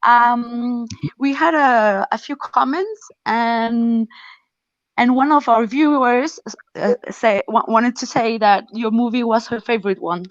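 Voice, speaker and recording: A woman's voice: on the high side, slow pace, dry recording. Woman moderator, dry dull audio. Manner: hesitant, friendly, frequent fillers. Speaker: festival Q&A moderator.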